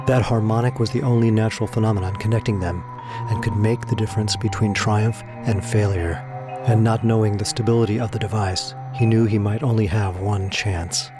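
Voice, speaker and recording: A man's voice: lowish voice, steady tone, brisk, over music. A man delivering scripted, dramatic story narration for a science fiction audio drama.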